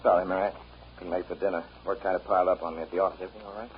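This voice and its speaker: A man playing a casual, plain-spoken young husband who is quietly unsure, in 1930s radio acting. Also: low-pitched, fairly even intonation, very fast, close to an everyday voice. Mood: casual, curious. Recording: dull, noisy 1939 radio recording.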